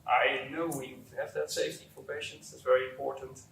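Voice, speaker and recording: A Dutch man in his early forties speaks in an accented, mid-pitched voice, answering interview questions off the cuff. He is recorded in an echoey room at some distance from the microphone, with faint background noise. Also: little pitch movement, a little fast, gravelly, fairly bright tone. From Netherlands. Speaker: company executive, interview guest.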